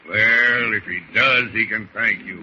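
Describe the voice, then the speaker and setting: An actor playing a crusty older sailor in 1940s radio style, jovial with a sly menace, talking in a folksy seafaring dialect. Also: male voice, on the low side, steady tone, unhurried, bright, forceful tone. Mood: folksy, crafty. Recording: muffled, noisy old radio recording.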